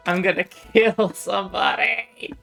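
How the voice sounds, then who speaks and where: A woman's voice: mid-range voice, a little slow, creaky, fairly bright tone. A woman streaming a video game, reading its text aloud with casual asides. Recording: music behind the voice.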